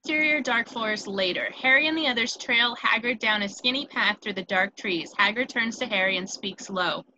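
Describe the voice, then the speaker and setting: A woman's voice: on the high side, moderate pace, hardly any pauses, muffled recording. A woman reading scripted stage directions as narrator of a table read. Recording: clear steady background noise.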